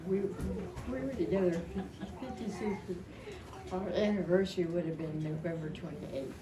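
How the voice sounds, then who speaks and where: A woman in her seventies giving an informal, rambling and emotional personal tribute at a memorial, telling stories in a halting way. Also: lowish voice, a crowd in the background. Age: 70s. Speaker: widow speaking at a memorial. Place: indoor family memorial gathering. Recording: echoey, distant mic, noisy gathering room.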